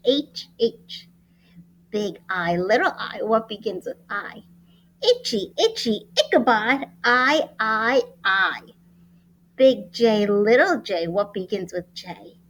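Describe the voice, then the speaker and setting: A woman teacher reading a picture book aloud to young children in an expressive, sing-song way with deliberate enunciation. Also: fairly high pitch. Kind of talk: animated children's read-aloud.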